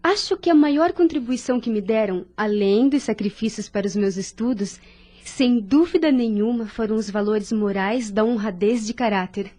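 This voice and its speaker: A woman playing a kind, devout young nurse in an audio drama, with a Brazilian accent and a gentle, earnest manner. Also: on the high side, normal speed, lightly acted. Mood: warm, grateful.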